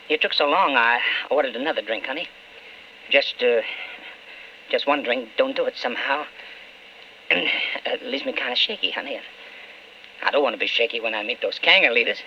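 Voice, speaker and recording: An actor playing a weak, wavering alcoholic husband and politician in an old-time radio drama, halting and emotional, often stammering. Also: male voice, medium pitch, sing-song. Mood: tipsy, rambling. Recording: dull, faintly noisy old radio recording.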